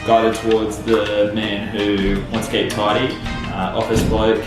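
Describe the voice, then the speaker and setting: A man's voice: on the low side, level tone, unhurried. A man working as a barber, explaining hesitantly with many fillers. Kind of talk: casual unscripted explanation. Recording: distant and echoey with loud guitar music.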